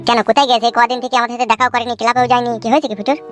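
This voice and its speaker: A woman's voice: a little high, steady tone, rapid-fire, faint music behind, natural voice. A woman voicing a cocky young male village layabout in a comedy cartoon. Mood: cajoling. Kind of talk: comic cartoon dialogue.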